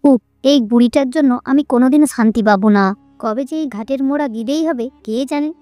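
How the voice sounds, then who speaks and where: Cartoon sister-in-law, female performer: young, jealous, bitter, scolding, high voice. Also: fast talker, dark tone, dry recording, studio quality, soft background music, natural voice. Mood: angry. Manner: complaining, scolding. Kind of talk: Bengali cartoon fairy-tale voice acting.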